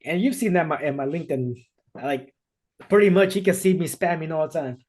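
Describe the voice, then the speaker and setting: A man's voice: a little high, expressive, a little fast, darkish tone. A man hosting a podcast over a video call, talking in a casual, upbeat way and often laughing or repeating himself. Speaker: podcast host. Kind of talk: podcast interview. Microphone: remote video call.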